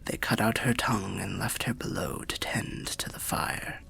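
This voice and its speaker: A man voicing a weary old undead wanderer telling fantasy tales in ASMR roleplay, half whispered and gravelly, with a measured, wry, old-fashioned way of speaking. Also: fairly even intonation, sharp tone, full character voice. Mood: somber, hushed. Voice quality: breathy whispering, gravelly low voice. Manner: measured, archaic, wry storyteller.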